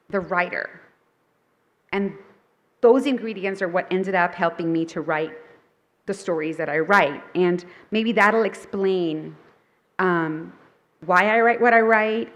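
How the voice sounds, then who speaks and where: Woman author at a festival talk, chatty and upbeat, mid-pitched, many ums and restarts, first language Thai. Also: a bit bright. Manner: upbeat and chatty, with frequent fillers and self-corrections. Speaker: picture book author giving a festival talk. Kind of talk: conversational presentation to a live audience.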